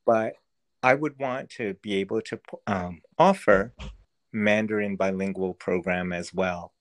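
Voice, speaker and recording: A man's voice: lowish voice, a little slow. A man whose first language is Cantonese, speaking as a school district educator in an interview, earnest and often restarting as he searches for words. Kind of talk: thoughtful interview answers.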